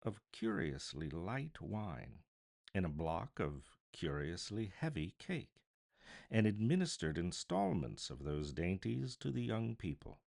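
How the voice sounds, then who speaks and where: A man narrating a classic story as a voice artist, theatrical, deliberate and expressive. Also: low voice, gravelly. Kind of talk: dramatic literary storybook narration.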